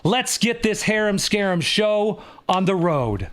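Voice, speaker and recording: A man voices a bossy woman school nurse in a storybook. The voice is high, brisk and emphatic, with a knowing air. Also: big pitch swings, a bit bright, exaggerated voice. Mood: rallying.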